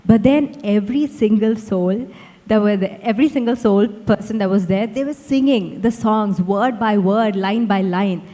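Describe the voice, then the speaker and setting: A woman's voice: mid-range voice, normal speed, mellow. A young woman with an Indian accent, a musician on a panel, talking conversationally and repeating phrases for emphasis. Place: large indoor hall at a summit. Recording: reverberant hall.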